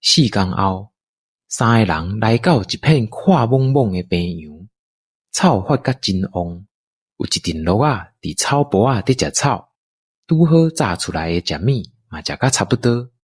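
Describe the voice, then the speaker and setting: A man's voice: lowish voice, expressive, a little slow, husky. A man with a Taiwanese accent narrating a scripted adventure story.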